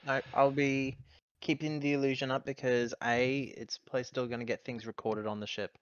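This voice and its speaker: A man's voice: mid-range voice, level tone, moderate pace, clear, resonant tone, no room sound, highs cut off. A man taking part in a tabletop roleplaying game, chatting casually as a player.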